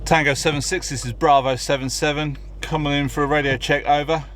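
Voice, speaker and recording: A man's voice: a little high, steady tone, fairly quick, a bit bright. A man talking casually as a vlogger testing two-way radios, switching between offhand remarks and radio check calls. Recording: clear vehicle and ambient noise.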